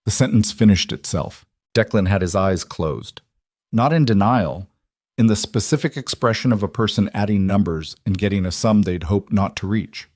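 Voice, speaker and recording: A man reading scripted suspense fiction as an audiobook narrator, in a measured, deliberate manner. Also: fairly low pitch, darkish tone, dry recording, studio quality.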